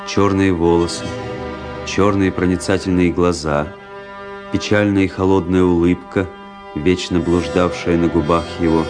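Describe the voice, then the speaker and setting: Radio play casting: male actor as grave officer-narrator, deep voice, measured and brooding, light music underneath. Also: close to an everyday voice. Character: grave officer recounting a fateful memory.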